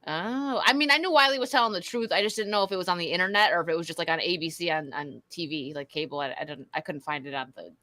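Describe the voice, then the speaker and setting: A woman with a Buffalo, New York accent talks casually on a livestream. Her voice sits a little low but has a bright, forward edge, with a very dry sound. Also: fast talker, hardly any pauses, no echo. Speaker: livestream co-host. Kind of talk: casual chat.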